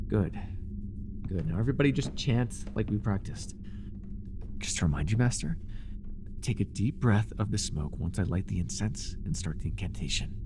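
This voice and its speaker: A man playing a fanatical, desperate cult leader devoted to a dark master, theatrical and intense, in an immersive audio roleplay. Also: lowish voice, animated, normal speed, noisy background. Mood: tense, commanding. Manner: theatrical, intense, stammering when shaken.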